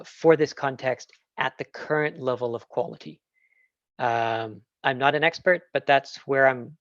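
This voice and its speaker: A Canadian man fields questions after a tech talk, thinking out loud with plenty of fillers. His voice sits at an ordinary pitch. The audio is dry and a little muffled, as on a video call. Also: dry recording. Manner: thinking aloud, hesitant with fillers and laughs. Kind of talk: casual Q&A answers.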